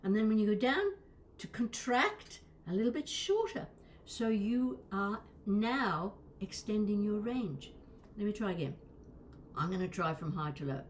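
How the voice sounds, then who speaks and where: A woman's voice: mid-range voice, expressive, a little slow, slight background noise. A woman coaching vocal exercises in a conversational, instructional way, stressing and stretching key words. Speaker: voice and accent coach.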